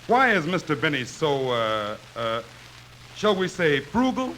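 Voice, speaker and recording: An earnest, inquisitive male house helper in an old radio comedy. His voice is bright and fairly high, with faint noise on the vintage recording. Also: big pitch swings, a little slow, heavily acted, low-quality recording. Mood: earnest, curious. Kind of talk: 1950s radio comedy acting.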